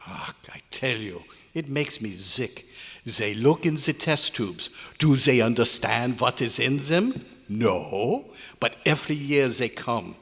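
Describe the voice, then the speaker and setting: Man acting a husky, cantankerous old scientist, hall echo. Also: medium pitch, animated, slow pace, a bit bright, exaggerated voice. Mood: grumbling. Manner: grumbling, exasperated, inverted foreign-sounding phrasing. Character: cantankerous old male research scientist, grumbling yet fond. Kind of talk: old-time radio drama recreation performed live. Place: large hotel meeting room at a convention. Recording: echoey hall, live stage performance.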